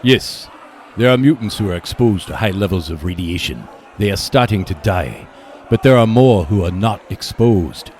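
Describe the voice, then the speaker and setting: A man's voice: fairly low pitch, animated, moderate pace, darkish tone, close to an everyday voice. A man playing a tense human defender in a radio play, with an urgent, dramatic delivery over a noisy recording. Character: tense male human defender shouting urgent battle reports.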